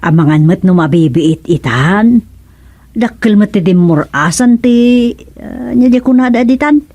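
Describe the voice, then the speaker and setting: A woman's voice: mid-range voice, expressive, measured pace, dark tone, dry recording. A woman with an Ilocano accent playing a practical, inquisitive village neighbour in a radio comedy drama.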